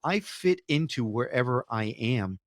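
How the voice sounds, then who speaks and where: A man from Connecticut hosts a podcast interview, enthusiastic and effusive, reacting with emphatic wonder to what he hears. His voice is at a middle pitch for a man. The recording is dry and close. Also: lively intonation, measured pace, dry recording. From Connecticut, USA. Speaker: podcast host.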